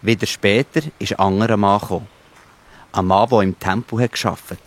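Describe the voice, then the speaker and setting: Low-voiced Swiss German male storyteller. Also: steady tone, a little fast. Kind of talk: scripted children's Bible story narration.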